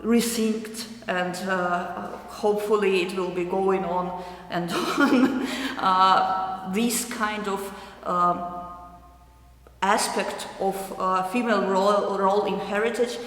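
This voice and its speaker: A young adult woman from eastern Ukraine with a Ukrainian accent, answering interview questions thoughtfully with many hesitant pauses. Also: mid-range voice, steady tone, a bit bright. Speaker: artist interviewee. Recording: roomy hall with long echo.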